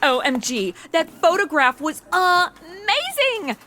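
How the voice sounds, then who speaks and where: A woman's voice: extremely high pitch, sing-song, slow pace, pushed and bright, a little hiss or hum, heavily acted. An actress playing a ditzy, flippant modern young woman, slangy and gushing, in a comedy audio drama.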